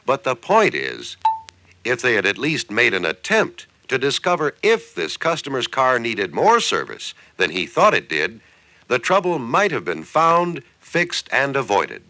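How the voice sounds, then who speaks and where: A man's voice: mid-range voice, wildly swooping pitch, unhurried, pushed and bright, dry recording. An American man reading scripted narration for a 1960s industrial training film in a conversational, persuasive way with emphatic phrasing.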